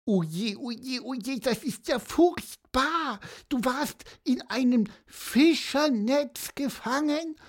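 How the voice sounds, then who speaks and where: Woman doing an eager, high little boy character. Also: expressive, slow pace, hardly any pauses, exaggerated voice. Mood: excited. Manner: eager, breathless questions. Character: excitable, curious little male listener. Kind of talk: storybook character voice.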